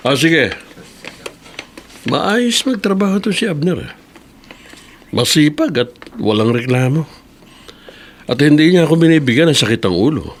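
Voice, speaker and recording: A man's voice: on the high side, big pitch swings, measured pace, pauses often, slight background noise. A Filipino man playing an appreciative, easygoing office boss in a radio drama. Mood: pleased.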